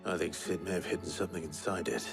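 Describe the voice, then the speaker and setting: A low-voiced man as a stoic hero in a fantasy video game. He speaks in short, measured, earnest phrases. Music is clearly audible behind him. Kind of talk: cinematic video game acting.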